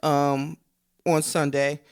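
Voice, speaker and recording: Casting: female co-host, middle-aged, smooth clear voice, informal and halting conversational delivery. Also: deep voice, flat delivery. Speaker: podcast co-host. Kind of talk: casual chat.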